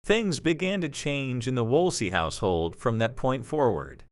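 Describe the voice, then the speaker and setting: A man's voice: medium pitch, animated, moderate pace, no room sound. A man narrating a scripted story as the narrator of an audio drama.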